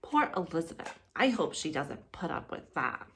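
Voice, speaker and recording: A woman in her thirties, a kindergarten teacher, reading aloud to young children with simple explanations and expressive storytelling. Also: deep voice, moderate pace. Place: living room, indoors.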